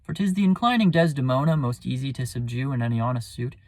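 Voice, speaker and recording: Man acting a Shakespearean villain, sly and plotting, theatrical, deliberate, creaky, dry sound. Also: medium pitch, expressive, darkish tone, no room sound, close to an everyday voice. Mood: sly reasoning. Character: scheming, smooth male villain plotting ruin. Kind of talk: Shakespearean soliloquy.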